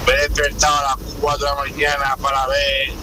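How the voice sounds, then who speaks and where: A man's voice: mid-range voice, monotone, fairly quick, background noise. A man from Paraguay, calling a radio show and speaking in an enthusiastic, emphatic way. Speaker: caller.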